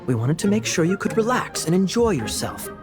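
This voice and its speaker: A man playing a genial, confident knight in a video game, talking in a smooth, theatrical, reassuring way. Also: sits high, big pitch swings, fairly quick, over loud music. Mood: proud.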